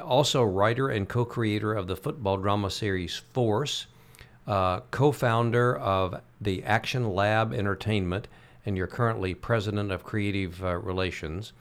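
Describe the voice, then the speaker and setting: A man hosting an interview podcast, introducing a guest in a halting way, with many pauses. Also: on the low side. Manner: halting, many "uh" fillers and restarts. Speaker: podcast host.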